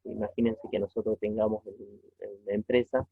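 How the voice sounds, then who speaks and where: Through a tinny, phone-like recording, a man with a low, husky voice gives an informal lesson. He explains step by step as a teacher, pausing haltingly and checking in with short questions. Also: level tone, no echo. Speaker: technology teacher. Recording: tinny phone-like narrow-band audio.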